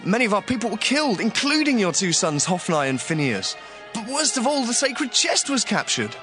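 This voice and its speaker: A man's voice: high-pitched, very animated, fairly quick, over music. A man playing a distressed young soldier messenger in a dramatized audiobook. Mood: distressed, urgent.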